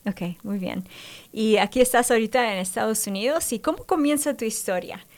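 A woman's voice: on the high side, moderate pace. Female radio host, faith testimony interview, encouraging, prompts guest with short affirmations.

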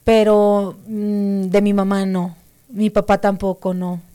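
Mellow-voiced woman telling her story. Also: mid-range voice, flat delivery, slow, clear, resonant tone. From Mexico. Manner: hesitant, many fillers and restarts, mellow. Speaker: interview guest. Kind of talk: personal faith testimony.

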